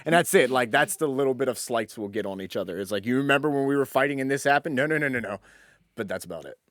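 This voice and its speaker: A man's voice: mid-range voice, expressive, fast talker, fairly bright tone, dry recording, clean studio sound. A man in his twenties co-hosting a podcast in a blunt, informal, slangy way. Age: 20s. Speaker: podcast co-host. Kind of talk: casual podcast chat.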